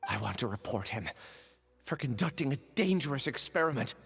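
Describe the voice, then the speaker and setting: A man voicing a shaken, frightened young male researcher in a video game, talking urgently and haltingly, over game music. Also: medium pitch, very animated, creaky, fairly bright tone. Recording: game audio under music.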